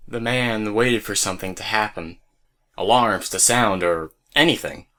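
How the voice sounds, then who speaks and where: A man's voice: on the low side, measured pace, pushed and bright, slight background noise. A man narrating a scripted audio drama as a storyteller who speaks straight to the listener. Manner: storyteller addressing listeners directly.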